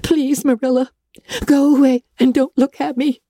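A woman voicing a tearful, high-pitched orphan girl. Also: little pitch movement, moderate pace, warm tone, no room sound, studio quality. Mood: despairing. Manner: high, breathless and tearful, often whispering. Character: dramatic red-haired orphan girl, tearful and imaginative. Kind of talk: audiobook character reading.

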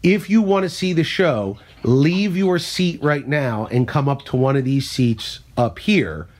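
Chatty comedian telling stories. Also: male voice, mid-range voice, measured pace. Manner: storytelling, self-deprecating, animated when telling bits. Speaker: stand-up comedian and podcast host. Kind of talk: casual podcast chat.

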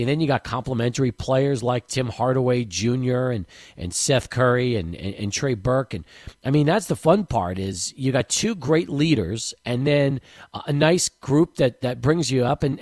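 A man's voice: mid-range voice, a little mellow, no echo, studio quality. A man hosting a sports talk show, asking a guest questions in a conversational, filler-laden way. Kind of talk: radio interview.

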